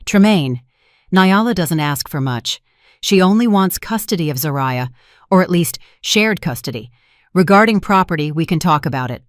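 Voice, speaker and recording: A woman voicing a calm, steady family lawyer in a story, measured and reassuring. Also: fairly low pitch, no echo, studio quality, lightly acted.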